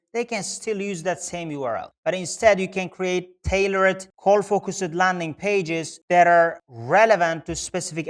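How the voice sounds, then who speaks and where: A man explaining things step by step as an instructor, in a methodical screen-share tutorial style with repeated phrasing. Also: high-pitched. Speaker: instructor, Google Ads specialist.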